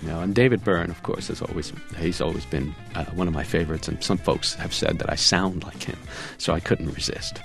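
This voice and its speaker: A man who grew up in Cuba talks in a low, gravelly voice as a radio guest, telling stories easily with a few restarts. His English carries no accent. Clear music plays underneath him. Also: fairly quick, few pauses. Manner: relaxed, storytelling with small restarts. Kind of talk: radio interview answers. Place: remote studio.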